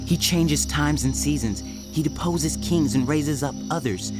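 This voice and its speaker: An actor playing a devout, solemn seer in a dramatized audio Bible, speaking in reverent, measured, declamatory phrases. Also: male voice, a little high, loud music behind the voice, lightly acted. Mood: reverent praise. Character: Daniel, devout, solemn young Hebrew seer.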